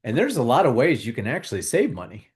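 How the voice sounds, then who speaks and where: Man, host, guided tapping talk, calm repetitive coaching, mid pitch. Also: quick pace. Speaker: host leading a tapping exercise.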